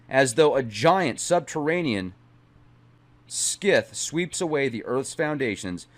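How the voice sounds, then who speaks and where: A middle-aged man hosting a channel, speaking in a dramatic, emphatic way as he reads aloud and comments. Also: medium pitch. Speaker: channel host. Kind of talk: dramatic reading aloud with casual asides.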